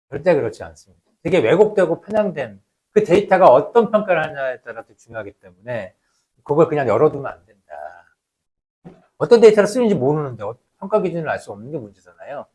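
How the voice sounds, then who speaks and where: Male lecturer, researcher type, voice a little high, explanatory and emphatic delivery. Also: a little mellow. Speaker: labour researcher giving a lecture.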